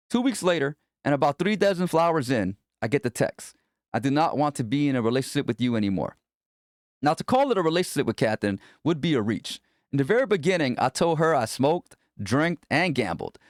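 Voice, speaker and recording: A man narrates a memoir in a slightly high voice with very animated intonation. The delivery is blunt, wry and conversational, and the sound is dry and close. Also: no room sound. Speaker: narrator reading a book chapter aloud. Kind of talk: scripted first-person memoir narration.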